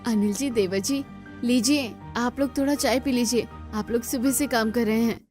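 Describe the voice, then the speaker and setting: A woman's voice: a little high, normal speed, a little mellow, soft background music, close to an everyday voice. A woman voicing a resourceful, caring young daughter-in-law in a cartoon story.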